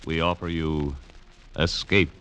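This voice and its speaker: A man's voice: rumbling low, level tone, slow pace. A man reading a scripted show introduction in a 1940s radio announcer style. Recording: dull vintage radio recording, faint music.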